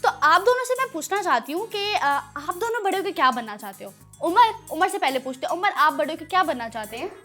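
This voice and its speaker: A woman hosting a children's show, chatting in an animated way with playful scolding for her young audience. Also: extremely high pitch, fast talker, hardly any pauses, faint music behind.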